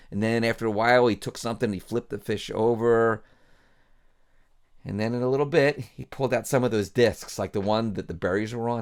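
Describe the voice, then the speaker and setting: A man's voice: on the low side, gravelly. A man, a pastor, telling an informal bedtime story in a folksy, unscripted way, often repeating phrases.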